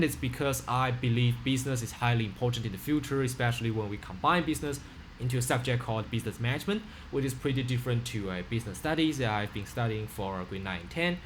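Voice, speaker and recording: A man's voice: mid-range voice, a little hiss or hum. A teenage boy from China with a Chinese accent, giving an earnest self-introduction over a webcam from a small room, with many fillers and restarts. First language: Mandarin. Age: teens. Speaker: student. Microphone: webcam on a video call.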